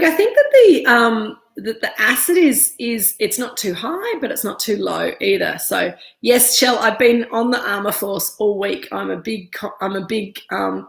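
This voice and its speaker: A woman's voice: medium pitch, gravelly, echoey room. An Australian woman in her thirties, stuffy from a head cold, chatting casually through a live wine tasting with lots of ums and restarts. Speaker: winemaker hosting a live virtual tasting. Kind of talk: casual live-stream chat, reading viewer comments. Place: winery room.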